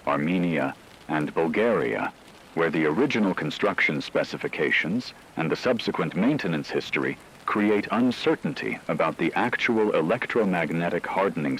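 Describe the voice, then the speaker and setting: A man playing a grave scientific expert, giving a measured, formal, technical briefing in a scripted radio drama about a disaster. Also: low voice, a bit bright, slight background noise, poor audio. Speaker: radio broadcast expert commentator.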